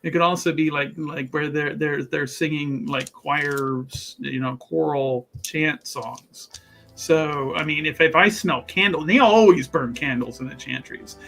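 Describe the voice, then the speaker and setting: A man's voice: a little high, measured pace, creaky, fairly bright tone, soft background music. A man talking casually as a player in a tabletop roleplaying game, wordy and thinking aloud as he rephrases himself.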